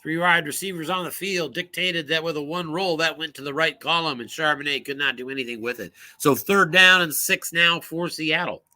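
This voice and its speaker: A man calling solo play-by-play for a tabletop football game, brisk and rising on big plays, losing his voice and coughing now and then. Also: fairly high pitch, sharp tone.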